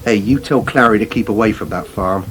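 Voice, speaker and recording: A man's voice: on the low side, brisk, a little hiss or hum, natural voice. An actor playing a wary, grudging working countryman in a radio soap. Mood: concerned. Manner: dry, grudging, sceptical. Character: dry, wary working countryman studying at agricultural college. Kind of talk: British radio soap acting.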